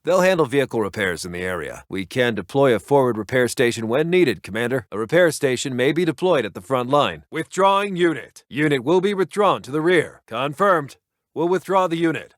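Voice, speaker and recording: An actor playing a brisk, grave American army intelligence officer in a war strategy game, reporting in clipped, soldierly phrases. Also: male voice, medium pitch, lively intonation, dry recording, clean studio sound, natural voice. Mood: brisk, businesslike. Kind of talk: scripted military radio-report game voice lines.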